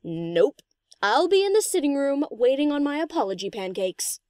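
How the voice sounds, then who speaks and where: A woman's voice: high-pitched, very animated, normal speed, a little mellow, no echo, clean studio sound. A woman voicing a cheeky, laid-back DJ pony in an audio story, with a casual, teasing, slangy delivery. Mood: brash, mock-offended. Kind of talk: scripted audio story character acting.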